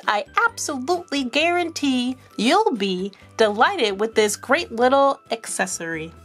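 A woman's voice: sits high, a bit bright, exaggerated voice. A woman voicing a sly, pushy male rabbit salesman from a storybook, in a wheedling, salesy manner.

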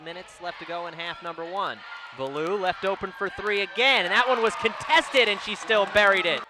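Excited high-voiced basketball commentator. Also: male voice, a little fast. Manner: excited, calls plays in short bursts. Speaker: play-by-play commentator. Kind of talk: sports commentary. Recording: game broadcast with loud arena crowd and noise.

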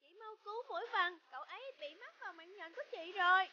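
A tiny anxious cartoon grub, calls out pleadingly. The voice is extremely high and bright, in a dry, dull lo-fi recording. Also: female voice, fast talker, exaggerated voice. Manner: pleading, calling out. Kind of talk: cartoon voice acting. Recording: dull lo-fi sound.

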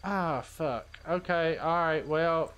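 High-pitched man streaming games. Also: slow, a bit bright, clean tone. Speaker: gaming live streamer and server admin. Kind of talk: casual gaming chat with friends.

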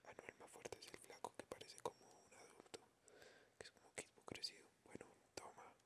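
A woman with a Colombian accent doing playful, slangy ASMR game commentary in a breathy whisper. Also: brisk. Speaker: ASMR gamer.

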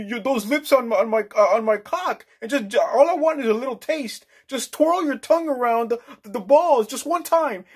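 A man's voice: very high voice, darkish tone, pitched above the performer's own voice, exaggerated voice. A man voicing a lecherous executive who moans and pleads in a whiny, stammering way. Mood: lustful, moaning.

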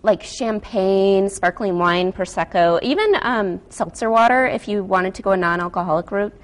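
A woman's voice: medium pitch, moderate pace. Chatty, enthusiastic American woman demonstrating cooking. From Wisconsin, United States. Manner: chatty, enthusiastic, informal, frequent "like". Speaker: guest food stylist and recipe developer. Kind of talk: casual cooking demonstration on morning TV.

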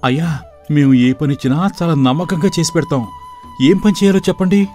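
A man voicing an earnest, excitable young village brother in an animated moral story, with emphatic delivery. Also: on the high side, fairly quick, close to an everyday voice. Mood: eager, earnest. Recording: voice over background music.

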